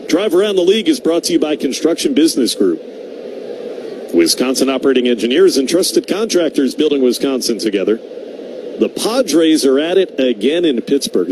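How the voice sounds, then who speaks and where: Male American baseball play-by-play voice, medium pitch, live commentary and ad reads, noisy ballpark broadcast. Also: sing-song, normal speed. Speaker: baseball radio play-by-play announcer.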